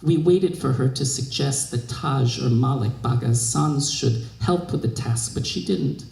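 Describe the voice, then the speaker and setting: Creaky-voiced man reading a story. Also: medium pitch, unhurried, darkish tone. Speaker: literary reader. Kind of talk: expressive scripted narration of a short story. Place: live reading in a museum room. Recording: echoey room.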